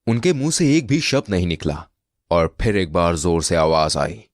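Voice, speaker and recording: Deep, lively male storyteller. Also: moderate pace, no room sound, studio quality. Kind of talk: scripted Hindi moral story narration.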